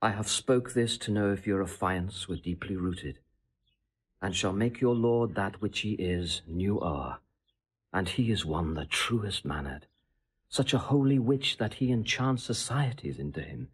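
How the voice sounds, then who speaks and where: Husky, scheming Roman seducer. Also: male voice, lowish voice, expressive, measured pace. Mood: effusive praise. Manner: theatrical, declamatory, elaborate courtly phrasing. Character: smooth, scheming Roman seducer, flattering and insinuating. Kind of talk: classical Shakespearean audio drama acting.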